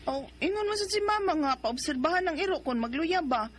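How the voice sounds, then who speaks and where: An actress playing a young mother in a radio drama, who also narrates it, earnest and quick to defend herself. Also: high-pitched, expressive. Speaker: narrator and lead.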